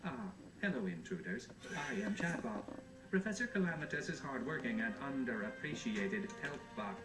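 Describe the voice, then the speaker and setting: A man's voice: mid-range voice, very animated, normal speed, over music, close to an everyday voice. Man playing a distant, echoey, resentful helper robot. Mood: aggrieved. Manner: wordy, formal, sardonic. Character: hardworking, resentful, bargaining helper robot who collects figures. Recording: distant, echoey game audio with background noise.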